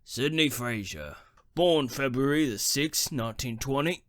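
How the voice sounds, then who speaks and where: Man playing a slow, wry old gravedigger. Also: medium pitch, no echo, studio quality, lightly acted. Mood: matter-of-fact. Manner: wry, colloquial, unhurried. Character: plain-spoken old working-class cemetery worker, wryly sympathetic. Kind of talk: radio drama acting.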